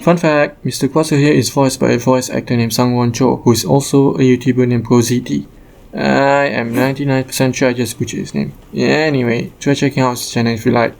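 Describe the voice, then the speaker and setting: A man's voice: medium pitch, steady tone, brisk, gravelly, a little mellow. A man giving casual commentary as he plays a video game, with game music behind him. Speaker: gamer playing through a quest. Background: faint game music.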